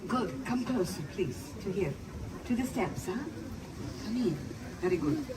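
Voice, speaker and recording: A woman's voice: medium pitch, lively intonation, measured pace, lightly acted. A woman performing as a good-natured old Italian witch, talking to a crowd with a put-on Italian accent and coaxing, playful manner, calling children closer. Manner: playful, coaxing, scolds her broom. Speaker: costumed live performer. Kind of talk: live character storytelling to a crowd. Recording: distant mic, noisy outdoor crowd.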